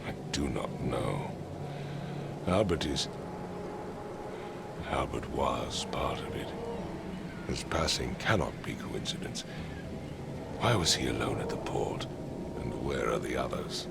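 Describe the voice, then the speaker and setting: A stern, imperious aristocrat with a voice sunk deep into gravel. He talks formally and in command, his pitch rising and falling widely. A loud hiss of noise runs under the game cutscene. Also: expressive, slow pace, long pauses, heavily acted. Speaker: wealthy patron funding an expedition. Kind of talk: video game cutscene acting.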